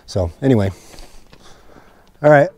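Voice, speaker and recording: A man's voice: medium pitch, expressive, measured pace, warm tone. A middle-aged man explaining a job to camera in a casual, plain-spoken, informal way. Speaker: homestead vlogger. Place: outdoors on open rural land. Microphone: clip-on mic and handheld camera.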